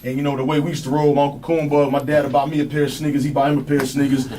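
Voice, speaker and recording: A young rapper from New with a strong, deep voice talks fast in loose street slang. He sits in a radio studio. The mic is some distance away and picks up a faint hiss. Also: level tone, dark tone. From New Jersey. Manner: laid-back street slang, keeps saying "you know what I'm saying". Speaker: rapper guest. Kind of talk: casual interview chat.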